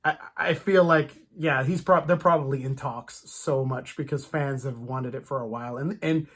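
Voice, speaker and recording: A man chatting casually about films as a host, in an animated, rambling fan's way. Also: mid-range voice, normal speed.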